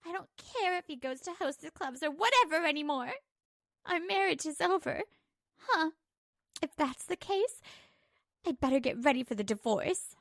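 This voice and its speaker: A woman's voice: high-pitched, animated, normal speed, a bit bright, no room sound, studio quality. A woman playing a hurt, betrayed young wife who narrates her troubles emotively in a manga dub. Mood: resigned, resolute. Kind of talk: dramatised first-person manga dub narration.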